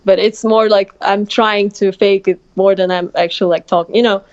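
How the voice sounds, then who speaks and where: A young woman from Russia speaks with a Russian accent as a guest on a podcast. She is blunt and laughs often, with a voice of middle pitch. The recording sounds dull and lo-fi, like a remote line. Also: fairly even intonation, moderate pace, a little mellow. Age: 20s. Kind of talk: casual podcast chat.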